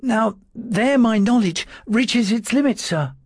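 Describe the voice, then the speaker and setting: A man voicing a timid, frightened male secretary who stammers in a high, strained voice. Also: lively intonation, slow pace, breathy edge, dry recording, studio quality, heavily acted. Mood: nervous.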